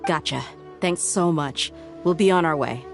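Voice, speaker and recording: A woman's voice: low voice, normal speed. An actress playing a confident, self-assured woman mercenary in a video game, speaking decisively over game music. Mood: steady.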